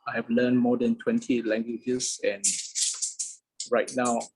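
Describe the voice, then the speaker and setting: Casting: young man from Medan, Hokkien native, husky tone, hesitant and filler-heavy in a casual interview. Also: mid-range voice, flat delivery, unhurried, a little mellow, poor audio. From Medan, Indonesia. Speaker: interviewee, polyglot.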